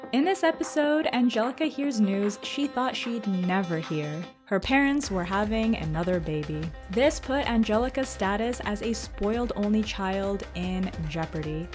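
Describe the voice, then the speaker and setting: Woman host, fairly high voice, dramatic scripted storytelling, clear music bed underneath. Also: a little mellow. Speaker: host and narrator of a countdown video. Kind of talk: scripted narration about cartoons. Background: music behind the voice.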